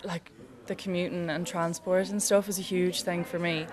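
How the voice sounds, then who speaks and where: A woman's voice: fairly low pitch, level tone, normal speed. A young Irish woman, a student, talking earnestly and chattily about living costs. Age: young adult. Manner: earnest, chatty, uses "like" often. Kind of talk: casual interview comments.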